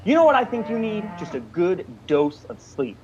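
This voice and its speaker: A man's voice: high voice, big pitch swings, moderate pace, muffled recording, crowd noise. A man playing a brash, folksy hitchhiker in an amateur radio thriller, talking bluntly and colloquially. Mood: alarmed, annoyed. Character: brash, folksy, easygoing hitchhiker turning wary.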